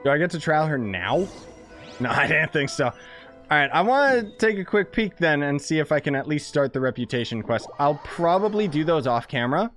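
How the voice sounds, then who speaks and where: A man's voice: mid-range voice, a bit bright. A man giving casual, blunt and slangy live commentary as he streams a video game. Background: game music.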